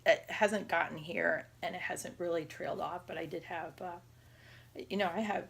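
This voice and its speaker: A woman around forty with a raspy voice, talking casually and haltingly in a personal health vlog. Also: on the low side, fairly even intonation, fairly bright tone. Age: 30s or 40s. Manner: halting, rambling, conversational. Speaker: patient video diarist.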